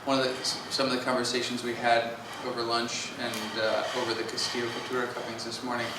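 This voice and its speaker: A man on a panel speaks in a level, flat tone, working through his points carefully and steadily. He sounds far from the microphone in a very reverberant hall. There is clear background noise. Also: mid-range voice. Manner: measured and reflective, with steady fillers. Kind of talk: panel discussion. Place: conference hall stage.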